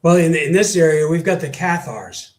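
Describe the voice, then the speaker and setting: A man's voice: on the high side, animated, normal speed. A man speaking plainly and matter-of-factly in a casual podcast chat. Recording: roomy echo.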